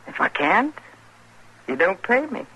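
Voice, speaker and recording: Bold money-hungry frontier woman, animated intonation, sly, old radio drama, noisy broadcast. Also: medium pitch, unhurried. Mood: sly, bargaining. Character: hard-bitten, money-hungry saloon woman of Old West. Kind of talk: old-time radio western acting.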